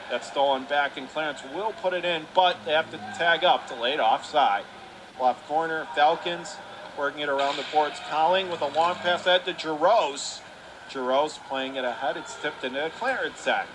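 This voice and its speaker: A man's voice: fairly high pitch, normal speed. A man calls live ice hockey play-by-play in urgent, clipped phrases. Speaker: radio play-by-play hockey announcer. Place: indoor ice hockey arena. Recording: clearly noisy live rink recording.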